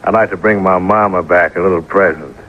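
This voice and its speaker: A man's voice: sits low, fairly even intonation, brisk, natural voice. A male radio actor playing a rich, naive Texan oilman with a folksy drawl and rustic grammar. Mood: folksy, eager. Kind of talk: old-time radio acting. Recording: muffled, noisy old radio recording.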